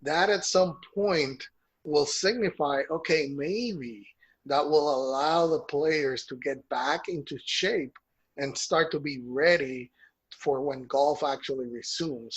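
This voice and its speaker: Husky man walking through a chart. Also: a little high, fairly bright tone. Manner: explains a chart step by step, with restarts. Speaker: co-host of a golf news show. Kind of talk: casual chat.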